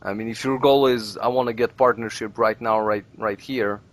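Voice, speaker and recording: A man's voice: fairly low pitch, fairly even intonation, moderate pace. A man hosting a casual podcast chat and giving blunt, emphatic advice. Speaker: podcast host.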